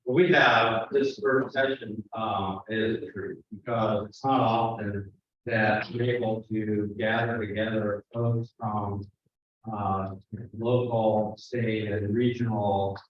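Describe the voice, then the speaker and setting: A man introducing panel speakers at a conference, halting with many fillers and restarts. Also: lowish voice, flat delivery. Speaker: session moderator. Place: conference room. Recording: echoey hall from far off, dull sound.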